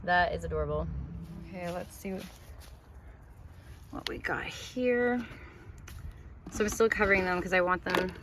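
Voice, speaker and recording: A woman's voice: fairly low pitch, measured pace, pauses often. A Canadian woman from Ontario giving a rambling, chatty walkthrough of her garden, gushing over her plants. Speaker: gardening vlogger. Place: outdoors in a home garden. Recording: outdoor noise behind voice.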